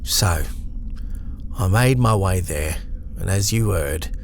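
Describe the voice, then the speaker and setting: Gravelly, haunted man acted by a male storyteller. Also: deep voice, animated, a little hiss or hum, full character voice. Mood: drained, haunted. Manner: shaken, haunted, halting with stammered repeats. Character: haunted, guilt-ridden man recording his terrifying confession. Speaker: storyteller reading own horror story. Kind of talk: first-person dramatic horror narration.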